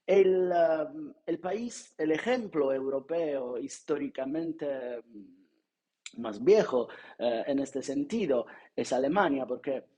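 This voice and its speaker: A man speaks in Spanish with a clear Italian accent, his first language showing through. He delivers an academic seminar lecture at an unhurried, halting pace, often pausing on filler sounds and restarting his phrases. His voice is dark, mellow and slightly husky. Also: mid-range voice, expressive.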